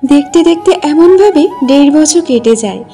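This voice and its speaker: A woman's voice: high voice, animated, normal speed, music in the background. A woman narrating a scripted children's folk tale as a storyteller.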